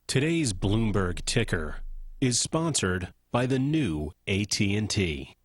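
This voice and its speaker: Deep husky guy reading sponsor spot, slow and measured. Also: very animated. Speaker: sponsor announcer. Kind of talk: scripted sponsorship read.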